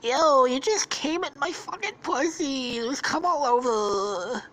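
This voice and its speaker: A woman voicing a prissy young woman who whines and complains in drawn-out tones. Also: high-pitched, expressive, fairly bright tone, pitched above the performer's own voice. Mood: disgusted.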